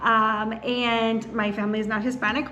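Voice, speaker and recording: A woman's voice: on the high side, flat delivery, moderate pace, pushed and bright, muffled recording, a little hiss or hum. An American woman from the Philadelphia area hosting a casual chat, talking in a chatty, upbeat way with many fillers. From United States, Philadelphia area. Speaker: real estate host.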